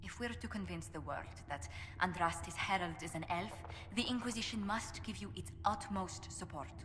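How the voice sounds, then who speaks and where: A woman's voice: mid-range voice, moderate pace, background noise, close to an everyday voice. Courteous lady ambassador in a hall actress. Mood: concerned. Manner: polite, formal, careful. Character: courteous, diplomatic, concerned female ambassador. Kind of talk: dramatic video game dialogue.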